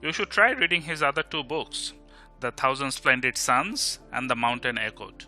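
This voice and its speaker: A man's voice: mid-range voice, normal speed, bright, forceful tone, soft background music. A man playing a witty, bookish young Indian student in an audio drama, with an Indian accent. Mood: warm, friendly. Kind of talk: scripted audio drama dialogue.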